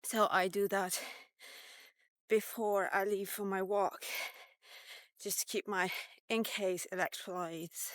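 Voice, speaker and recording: A woman in her fifties records a casual diary vlog at a slow, unhurried pace. She talks in a halting, reflective way, thinking things through as she goes. The sound is dry and close, with very little room around it. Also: mid-range voice, flat delivery, no room sound. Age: 50s. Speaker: vlogger.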